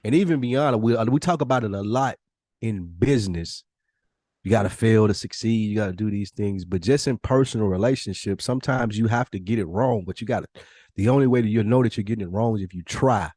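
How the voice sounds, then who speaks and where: A man with a slightly low voice talks casually as a podcast co-host. He is blunt and slangy, swears freely and repeats himself to drive points home, in a very dry recording. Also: brisk, mellow, dry recording. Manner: blunt, profane, slangy, emphatic repetition.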